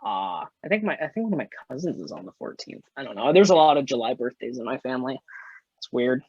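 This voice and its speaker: A man's voice: mid-range voice, big pitch swings, quick pace, a little mellow, husky, no room sound. A young man in his twenties talks as a podcast guest, rambling and swearing freely. His voice sits mid-range, and the recording is dry and a bit dull, slightly lo-fi. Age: 20s. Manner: rambling, frequent "like" and "you know", swears freely. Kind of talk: casual chat.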